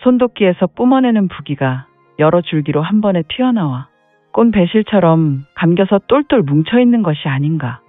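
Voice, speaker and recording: A man with a fairly high voice narrates a martial-arts serial in a dramatic storyteller's manner, sprinkling in "heh heh" interjections. The audio is thin and phone-like and very dry. Faint music plays underneath. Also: animated, normal speed, dry recording.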